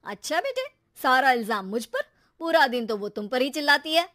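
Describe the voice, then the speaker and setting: Woman voicing an irritable, wry husband, high-pitched. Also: big pitch swings, moderate pace, fairly bright tone. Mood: teasing complaint. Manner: wry, complaining. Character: irritable, teasing husband and father working from home. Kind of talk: animated story dialogue.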